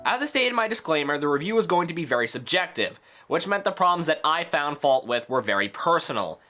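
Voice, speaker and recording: A male video reviewer reads opinionated commentary in a bright, forceful voice. His pitch moves a great deal and his asides are sarcastic. Music plays behind him. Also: very animated, quick pace.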